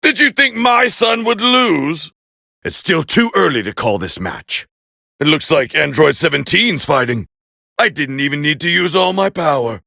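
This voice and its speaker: A man voicing a proud, scornful alien warrior as a fighting game commentator, gravelly and haughty in an English anime dub style. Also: a little high, expressive, measured pace, no room sound, clean studio sound, exaggerated voice. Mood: shocked, indignant. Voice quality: gravelly, raspy. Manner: haughty, forceful, clipped exclamations.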